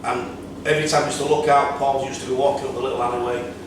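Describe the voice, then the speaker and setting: A middle-aged man with a Yorkshire accent, chatting as a podcast guest, telling quick anecdotes about his years on club doors and running events security. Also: medium pitch, level tone. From Yorkshire, England. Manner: quick anecdotal storytelling with many yeahs. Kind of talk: casual podcast chat. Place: bar lounge, seated on a sofa. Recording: echoey room from far off, background hum.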